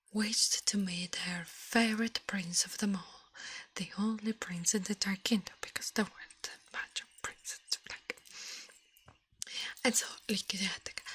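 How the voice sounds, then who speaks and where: A woman doing an ASMR livestream, chatting to viewers in a breathy, mostly whispered voice and softly repeating her phrases. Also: mid-range voice. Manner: slow-drifting, repeats words and phrases softly.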